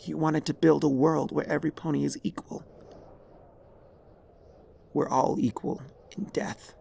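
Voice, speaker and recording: A man voicing a dying, regretful pony mare, a remorseful former tyrant, in a weary, halting, husky voice for a dramatic story. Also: on the high side, flat delivery, darkish tone, slight background noise, pitched above the performer's own voice. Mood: sorrowful, weary. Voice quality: raspy, husky, creaky.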